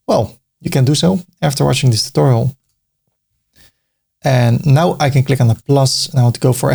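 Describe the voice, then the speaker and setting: A man's voice: medium pitch, vocal fry, mellow, breathy edge. A middle-aged man with a Dutch accent walking through a web design tutorial step by step, casual and now and then joking. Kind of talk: screen-recorded tutorial narration.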